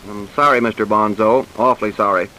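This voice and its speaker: Blunt, slangy American police chief. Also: male voice, fairly low pitch, fairly even intonation, brisk, sharp tone, slight background noise, low-quality recording. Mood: matter-of-fact. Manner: blunt, slangy, hard-boiled. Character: gruff male police detective chief, suspicious and commanding. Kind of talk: old-time radio crime drama acting.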